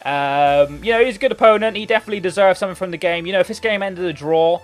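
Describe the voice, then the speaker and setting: A young man with a hoarse, fading voice, commentating on his own football game match from his bedroom, swinging from muttering to shouting. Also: high-pitched, fast talker. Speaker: gaming commentator. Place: hot bedroom. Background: background music.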